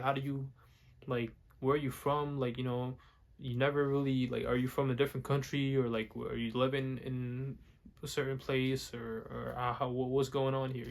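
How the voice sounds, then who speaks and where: A young man talks straight to the camera in a loose, rambling way. He stumbles, repeats words and restarts his sentences as he goes. English is not his first language, and his pitch sits in a middle range. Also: creaky. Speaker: vlogger. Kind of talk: casual talk to camera.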